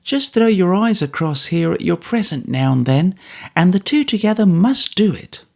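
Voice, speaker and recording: Guy doing a warm quick soldier's wife, high lilting voice, motherly. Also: big pitch swings, creaky, exaggerated voice. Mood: fond. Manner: quick, motherly, fond exclamations. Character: older woman, warm, brisk soldier's wife.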